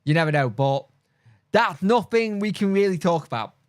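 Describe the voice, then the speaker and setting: A man's voice: high voice, lively intonation, moderate pace, clean tone, dry recording, studio quality. A man with a mild speech impediment, tired, hosting a casual live stream and chatting bluntly through viewer comments with lots of fillers and restarts. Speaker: football fan channel host.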